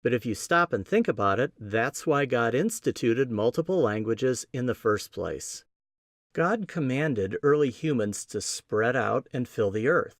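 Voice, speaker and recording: Midwestern American man, lively teaching voice. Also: medium pitch, measured pace, no room sound, clean studio sound. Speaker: podcast host. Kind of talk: scripted teaching monologue.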